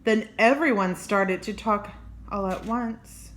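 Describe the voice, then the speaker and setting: A woman's voice: medium pitch, slow pace, a little hiss or hum. An older woman reading a children's story aloud as a grandmother, expressively, with chatty asides and exclamations. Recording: roomy, echoing home recording.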